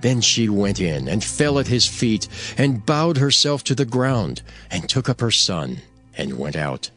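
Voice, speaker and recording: Scripture narrator: man, lowish pitch, solemn and measured yet lively, light music underneath.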